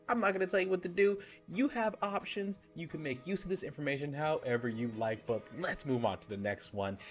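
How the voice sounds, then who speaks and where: A man in his thirties hosting a fitness channel, giving blunt, playful advice straight to camera on a close microphone in a small home studio. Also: medium pitch. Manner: animated, blunt and playful, with casual swearing. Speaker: fitness YouTuber host. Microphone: close podcast microphone, seen.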